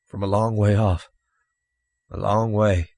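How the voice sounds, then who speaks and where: A man voicing a weary, halting stranger with a dry, raspy voice in an audiobook story. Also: on the low side, steady tone, a little mellow, no echo, full character voice. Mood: weary, dazed. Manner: halting, tired. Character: weary, hungry, stooped stranger, a worn-out man.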